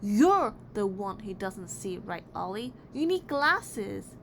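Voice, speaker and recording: Noisy recording, woman playing bossy storybook big sister, bored, dismissive, grumbling, drawn-out words. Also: medium pitch, big pitch swings, heavily acted. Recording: clearly noisy background.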